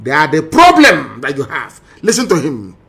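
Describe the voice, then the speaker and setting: A Nigerian-accented man speaks as a political broadcaster in a fairly high, forceful voice. He is emphatic and scornful, leaning on rhetorical questions and repetition to drive each statement home. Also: huge pitch swings, moderate pace, vocal fry. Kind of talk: impassioned political monologue commenting on archive audio.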